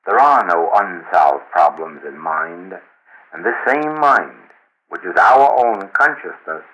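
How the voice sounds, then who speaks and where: Older guy w a deep but forceful voice reading a spiritual book, solemn n deliberate. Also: expressive, dull audio. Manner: solemn, measured oratory with deliberate phrasing. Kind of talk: read-aloud metaphysical teaching.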